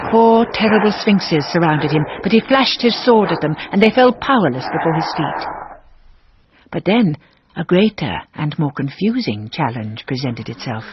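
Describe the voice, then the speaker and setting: Female storyteller: wry, scripted fairy-tale narration, slightly muffled, soft music behind. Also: lowish voice, slight background noise.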